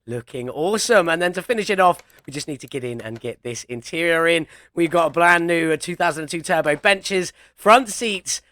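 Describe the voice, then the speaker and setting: A man's voice: a little high, fairly quick, few pauses, bright, forceful tone, no room sound, clean studio sound. A young man casually commenting on his own gameplay while ill with a chest infection and a cold, chatty and talking himself through each step.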